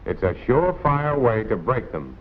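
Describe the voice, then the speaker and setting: A man's voice: medium pitch, very animated, moderate pace. An American man narrating a 1940s instructional film in a plain-spoken, colloquial, admonishing way. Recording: tinny, noisy 1940s film soundtrack.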